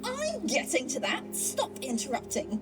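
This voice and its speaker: A theatrical rabbit villainess in an audio drama, a mocking trickster who revels in her own show. The voice sits high and leaps about with hammy, gloating relish. Music plays underneath. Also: huge pitch swings, a little slow, full character voice. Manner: showboating, mocking, hammy stage-host delivery.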